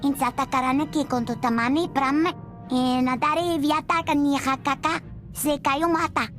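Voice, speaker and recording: A woman's voice: high voice, steady tone, moderate pace, a bit bright, faint music behind. A woman voicing a female alien in a video game, speaking in an invented tongue. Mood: intent.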